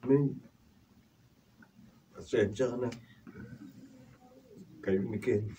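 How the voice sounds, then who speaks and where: An actor playing a weary drunkard older brother in a Filipino radio drama, with a gravelly voice. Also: male voice, medium pitch, extremely slow, dark tone. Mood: weak, remorseful. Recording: distant mic with faint noise.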